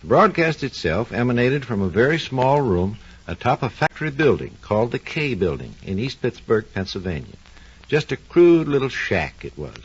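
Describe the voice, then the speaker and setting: A man's voice: medium pitch, big pitch swings, moderate pace, husky. A man playing a knowledgeable doctor who recalls radio's early days, in vivid, folksy scripted 1940s radio narration. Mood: reminiscing, animated. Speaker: expert storyteller. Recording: dull, lo-fi 1940s radio recording.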